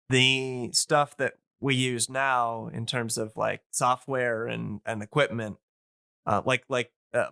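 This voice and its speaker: A man talking casually and enthusiastically as a podcast guest, explaining things in a rambling, halting way. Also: mid-range voice, bright, forceful tone, clean tone, no room sound, clean studio sound. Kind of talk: casual, enthusiastic info-dumping podcast chat.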